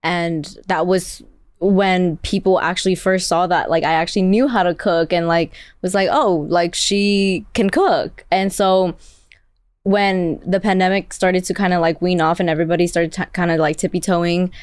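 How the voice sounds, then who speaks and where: A woman's voice: on the low side, steady tone, moderate pace, no echo, clean studio sound. A young Vietnamese-born woman whose first language is Vietnamese, a chef telling her story in a casual interview, chatting with lots of "like" fillers. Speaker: chef guest.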